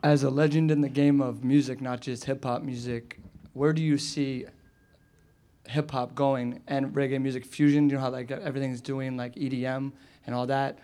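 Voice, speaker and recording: Flat, mellow-voiced man asking questions. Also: medium pitch, normal speed. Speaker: interviewer.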